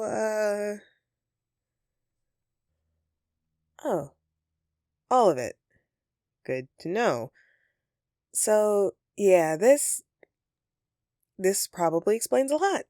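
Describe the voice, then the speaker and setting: A woman's voice: medium pitch, a little mellow, no echo, clean studio sound. A woman playing a flustered, friendly Christmas elf secretly living as a roommate, speaking hesitantly and earnestly in an audio roleplay to the listener. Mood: flustered, sheepish. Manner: hesitant, sheepish, conversational and earnest.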